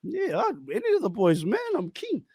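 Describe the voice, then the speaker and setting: A young man hosting a casual podcast interview, slangy, animated and quick to laugh. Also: very high voice, husky, no room sound, studio quality. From New Zealand. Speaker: podcast host.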